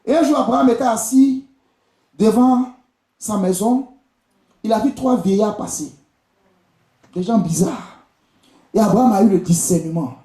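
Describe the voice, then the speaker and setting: Ivorian guy preaching in church, pretty high voice, soft mellow tone, echoey, keeps repeating stuff at the congregation. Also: measured pace, pauses often. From Côte d'Ivoire. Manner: exhortative, repetitive, addressing the congregation directly. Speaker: visiting preacher and prophet. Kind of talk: charismatic church sermon. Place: indoor church during a live service. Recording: echoey church hall sound.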